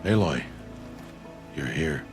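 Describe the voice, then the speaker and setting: A stern older hunter and guardian in a video game, his voice very low. He speaks in terse, formal, measured phrases, solemn throughout, with music and rain behind him. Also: lively intonation, heavily acted. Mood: grave. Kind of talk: video game cutscene acting.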